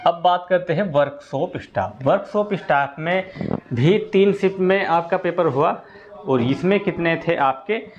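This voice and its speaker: Male presenter, fairly high pitch, informal repetitive explainer delivery, dull low-fidelity sound. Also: a little slow, a little hiss or hum. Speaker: exam-update presenter for students. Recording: dull lo-fi audio.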